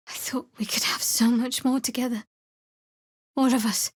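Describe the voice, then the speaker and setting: A woman playing a guarded, devout young cleric of a dark goddess in a fantasy video game, speaking in a measured, dry, slightly wry way. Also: a little high, no room sound. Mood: earnest, reflective. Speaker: video game character voice actor. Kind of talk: scripted fantasy game dialogue.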